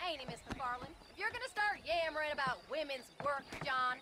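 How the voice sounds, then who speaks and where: Loud wagon and outdoor noise surrounds a very high-pitched frontier wife. She is tough, plain-spoken and world-weary, with a wry American Western drawl. It is video game cutscene acting. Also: animated, a little fast. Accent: American Southern or Western.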